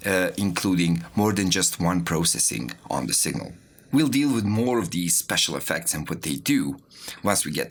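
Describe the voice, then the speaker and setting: Low-pitched man teaching, very animated intonation. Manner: didactic, step-by-step explaining. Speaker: music production instructor. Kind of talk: software tutorial, explaining while demonstrating.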